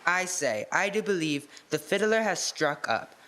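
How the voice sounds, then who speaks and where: A man's voice: high-pitched, expressive, a little slow, pushed and bright, full character voice. A man playing a cheerful, chummy young apprentice in a radio-play style reading.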